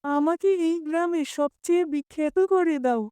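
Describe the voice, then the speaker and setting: A woman's voice: high-pitched, normal speed, warm tone, dry recording, voice pushed up, natural voice. A woman voicing an earnest, pleading young boy in a children's cartoon. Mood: eager. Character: greedy, then repentant young boy in a cartoon.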